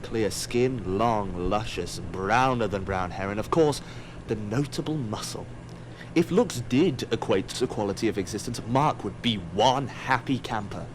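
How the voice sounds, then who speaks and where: A man's voice: fairly low pitch, a little slow, background noise, close to an everyday voice. A man in an audio drama playing an aloof, wry, digressive otherworldly narrator who muses on mortals. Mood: detached, condescending. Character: aloof, musing otherworldly narrator observing mortals, easily distracted.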